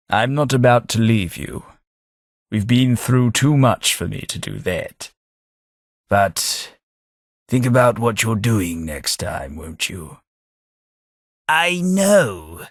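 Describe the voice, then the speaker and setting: A man voicing a dying ghoul gentleman in a game, speaking in a polite, formal, dry manner. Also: on the low side, slightly rough, no echo, heavily acted. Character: dying ghoul gentleman companion, courteous and wry. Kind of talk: game voice acting.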